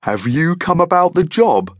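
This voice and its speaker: A man's voice: on the high side, very animated, unhurried, no echo, studio quality, pitched above the performer's own voice. A man voicing a huge, friendly, chatty male monster who proudly runs a diner, in a children's story.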